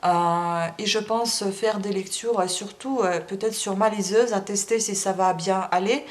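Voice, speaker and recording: A woman's voice: medium pitch, fairly even intonation, unhurried. A woman reading a classic novel aloud in a language that is not her first, then chatting hesitantly with many fillers. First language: not French. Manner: emotional, admits crying while reading. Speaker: audiobook reader.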